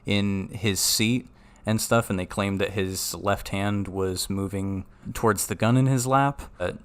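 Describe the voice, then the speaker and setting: A man's voice: lowish voice, steady tone, a little slow, smooth. A man co-hosting a political podcast, talking casually with dry sarcasm. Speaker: podcast co-host.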